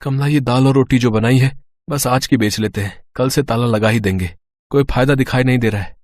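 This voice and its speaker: A man's voice: mid-range voice, fairly quick, darkish tone, no room sound, lightly acted. Poor, kind-hearted eatery owner for a cartoon moral tale, male actor; earnest, plaintive, dry recording. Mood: resigned. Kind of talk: dramatised moral story acting.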